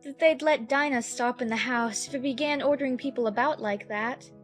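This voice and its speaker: A woman's voice: high voice, fairly quick, soft background music, natural voice. A woman voicing a curious, polite, slightly fretful little girl who chatters to herself in a dramatized storybook audiobook. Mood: playful, musing.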